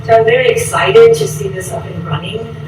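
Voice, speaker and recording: A woman's voice: mid-range voice, fairly quick. A woman on a board commenting at a public meeting, proud and enthusiastic, with frequent fillers. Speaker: board member. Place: large echoey meeting room. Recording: echoey, distant, noisy meeting recording.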